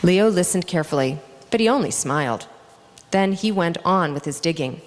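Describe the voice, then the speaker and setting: A woman with a lowish voice reads a children's story to a gathering of all ages. Her delivery is slow and highly animated as she dramatizes the characters. Her voice rings through a large hall with long reverb. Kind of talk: expressive read-aloud children's story narration.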